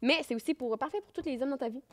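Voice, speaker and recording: Fast-talking bubbly Québécois woman. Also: sits high. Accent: Québécois French. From Quebec. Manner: bubbly, joking, swears casually. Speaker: podcast co-host. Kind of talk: casual chat. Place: studio set on a couch. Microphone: handheld studio mic.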